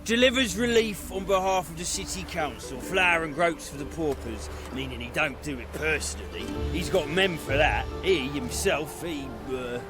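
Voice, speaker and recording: Man acting a high-pitched, blunt commoner guard. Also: expressive, moderate pace, few pauses, music in the background, close to an everyday voice. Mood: gruff, wary. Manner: blunt, colloquial. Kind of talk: video game character acting.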